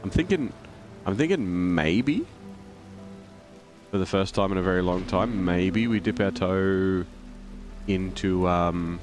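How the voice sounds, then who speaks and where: A man with a croaky, flu-hoarse voice chatting casually while streaming a game and greeting viewers. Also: low-pitched, slow, soft background music. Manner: rambling, reads out chat and greets viewers. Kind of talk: casual gameplay stream chat.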